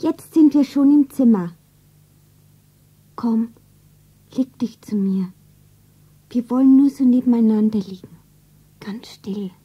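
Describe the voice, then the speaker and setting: A woman playing a dreamy, rapturous young shopgirl in love in a stylised 1970s radio play, breathy and slow. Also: on the high side, soft background music. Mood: tender, hushed. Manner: breathy, slow, self-narrating. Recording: dull vintage radio-play recording.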